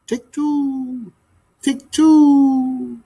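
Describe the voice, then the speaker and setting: A man's voice: very high voice, flat delivery, extremely slow, creaky, warm tone, voice pushed up, full character voice. A man voicing a silly, stubborn female dove in a storybook, cooing repetitively. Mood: murmuring. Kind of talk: storybook character voice.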